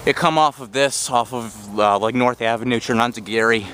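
A man's voice: medium pitch, steady tone, normal speed, a bit bright. A man narrating as he walks through overgrown woods, talking into a handheld camera mic with rambling restarts. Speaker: vlogger exploring abandoned railroad tracks. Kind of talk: handheld walking commentary. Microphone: handheld camera mic while walking. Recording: outdoor noise behind the voice.

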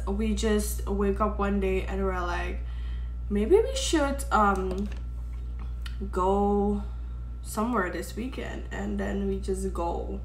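A woman's voice: mid-range voice, reverberant, slight background noise, low-quality recording. A 26-year-old woman from Suriname whose first language is Dutch, chatting casually while doing her makeup in her bedroom. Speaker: vlogger. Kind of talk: casual get-ready-with-me chat. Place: bedroom, indoors. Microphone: webcam framing at desk.

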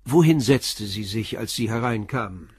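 An actor playing a calm, probing police inspector in a radio play, questioning a suspect in a measured, polite way with a husky voice. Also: male voice, lowish voice, no room sound, studio quality. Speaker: detective.

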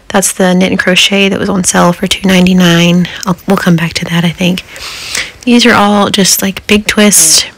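A middle-aged woman, chatting casually in a folksy, rambling way as a craft vlogger. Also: fairly low pitch. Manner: folksy, rambling, chuckles often. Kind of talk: casual chat.

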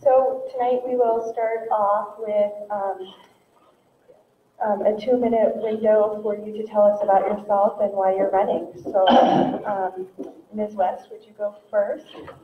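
A woman's voice: mid-range voice, unhurried. A woman moderating a candidate forum in a large community hall, polite and procedural with a few ums, speaking into a handheld mic. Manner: polite, procedural, slightly hesitant. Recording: echoey hall, phone-like, light hiss.

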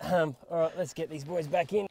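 A man, fishing from a small open boat at sea, explaining tactics between loud exclamations and laughs. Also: on the high side, fairly even intonation, a little fast, creaky. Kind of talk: excited outdoor commentary. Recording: loud wind, water and motor noise.

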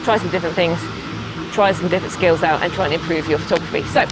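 A woman's voice: on the low side, fast talker, low-quality recording. A woman, talking casually to camera as a travel photographer, upbeat and chatty, on a lapel mic outdoors. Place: outdoors by a roadside at dusk. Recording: background music playing.

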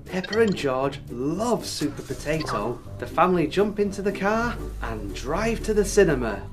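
A narrator telling a scripted children's story in an expressive way. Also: mid-range voice, normal speed, music in the background.

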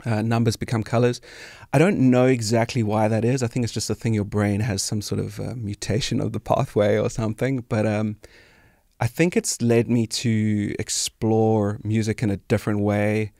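A man with a South African accent talks casually and reflectively as an interview guest. His voice is fairly low with plenty of creak, recorded dry and close in a studio. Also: flat delivery, moderate pace, dark tone, no echo. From South Africa, grew up in Cape Town. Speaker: musician guest. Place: small indoor podcast studio. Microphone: close studio microphone on arm.

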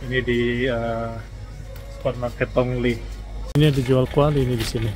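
A man's voice: medium pitch, little pitch movement, moderate pace, darkish tone, rough recording. A man from Indonesia narrates a casual shopping vlog in an ordinary mid-range voice. He chats to viewers and reads off prices as he browses. Background music runs under his talk. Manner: chatty, points out prices to viewers. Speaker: vlogger. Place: shops and street outdoors.

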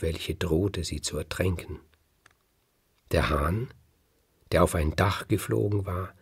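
A man narrating a folk tale as a storyteller, with dramatic pauses and slow emphasis. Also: low voice, a little mellow, breathy edge.

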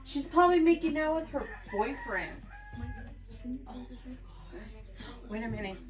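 A woman improvising a tipsy girlfriend roleplay in ASMR style, with a halting, drawn-out delivery. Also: high-pitched, lively intonation, highs cut off. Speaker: amateur roleplay performer. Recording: noisy home recording with clicks.

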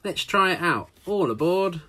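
A man's voice: high-pitched, lively intonation, unhurried, pushed and bright, voice pushed up, full character voice. A man doing a cheerful, hearty park keeper. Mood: eager.

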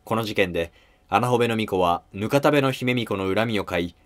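A man's voice: fairly low pitch, moderate pace. A man reading scripted history narration as the narrator of a drama.

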